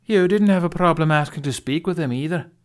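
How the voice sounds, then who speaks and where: A man voicing a gruff, defensive blacksmith who talks in a blunt, colloquial, challenging way for a fantasy audiobook. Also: fairly high pitch, fairly quick, voice pushed up, natural voice.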